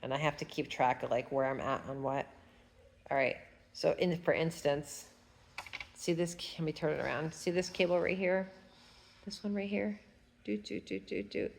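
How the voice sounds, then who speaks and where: A woman's voice: sits low, moderate pace, creaky, long pauses. A woman with a stuffy nose, chatting casually at home while showing her knitting, humming to herself as she works. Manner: chatty, rambling, hums while working. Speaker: fiber arts vlogger. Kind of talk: casual knitting tutorial chat.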